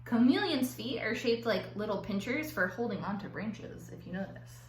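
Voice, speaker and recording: A woman teacher reading aloud to children in an expressive, playful way, drawing out words for emphasis and adding chatty asides. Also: medium pitch, moderate pace. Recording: roomy echo with faint background noise.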